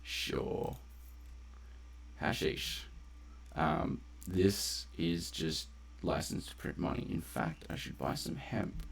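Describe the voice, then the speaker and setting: Very deep-voiced, gravelly man commentating. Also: very animated, slow pace. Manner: rambling, conversational, swears casually. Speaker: game streamer. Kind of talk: casual live gameplay commentary. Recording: faint background noise.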